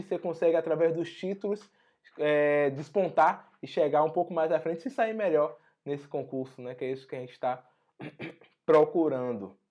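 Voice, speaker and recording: A hoarse man with a Brazilian Portuguese accent, teaching an online exam-prep lesson in a conversational way full of tag questions. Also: on the high side, darkish tone, muffled recording. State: hoarse after a flu. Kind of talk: online lesson walking through an exam notice.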